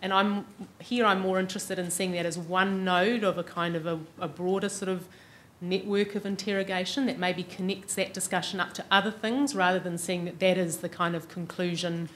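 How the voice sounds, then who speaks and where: A woman's voice: fairly low pitch, steady tone, normal speed, fairly bright tone. A middle-aged woman with an Australasian accent answering questions as an academic speaker, thinking aloud and qualifying as she goes. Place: large university lecture room. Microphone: lectern microphone. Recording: clearly noisy lecture room recording.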